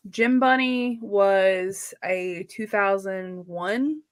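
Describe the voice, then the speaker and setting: A woman's voice: mid-range voice, very slow. Woman cohosting a live book chat, rambly and super casual, says like a lot, blunt about stuff. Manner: effusive, rambling, uses lots of "like". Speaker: co-host of a live book readalong.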